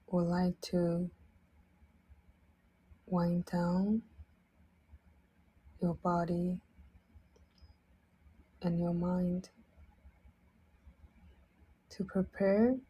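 A woman leading a guided sleep meditation in a soft, breathy, partly whispered voice, gently soothing, speaking in short phrases with long pauses. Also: on the low side, poor audio. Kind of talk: guided sleep meditation, slow instructional narration.

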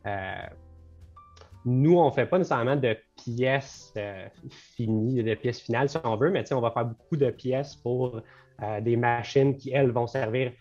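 A man's voice: mid-range voice, normal speed, darkish tone, faint music behind. Chatty young Quebec man, dull audio. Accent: Quebec French. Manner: talkative, loose, sprinkled with English words. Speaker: business co-owner and guest. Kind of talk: casual podcast conversation. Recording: slightly dull lo-fi sound.

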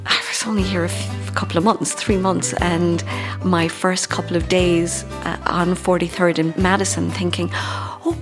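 An Irish woman, an actress from Ireland, talking thoughtfully in an interview and laughing softly between thoughts. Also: medium pitch. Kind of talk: reflective conversational interview.

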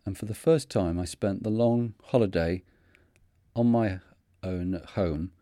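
A man in his fifties with a Manchester accent, hosting a podcast and narrating his own story in a measured, earnest way. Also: sits low, warm tone, no room sound, studio quality. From North of England. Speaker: podcast host. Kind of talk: scripted reflective narration.

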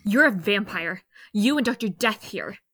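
A woman's voice: medium pitch, animated, moderate pace, noisy background, lightly acted. A woman playing a blunt, defiant seventeen-year-old girl with a snarky edge in an audio drama. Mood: defiant, accusing.

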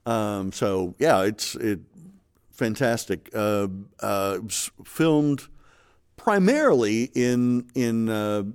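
A man's voice: lowish voice, expressive, slow, a little mellow, smooth. A man hosting a film podcast, talking at length about film history in a hesitant way, with lots of fillers and restarts. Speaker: podcast host. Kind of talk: casual film discussion.

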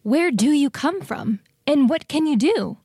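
A woman's voice: high voice, animated, unhurried, dark tone, no room sound, clean studio sound. A woman voicing a tiny, eager, inquisitive mouse in a children's storybook, full of wonder. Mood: curious. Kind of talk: dramatized children's storybook reading.

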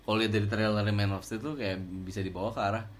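A man co-hosting a casual podcast about films, relaxed and hesitant, often trailing off with fillers. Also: on the low side, quick pace, a little hiss or hum. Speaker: podcast co-host. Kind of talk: casual film chat.

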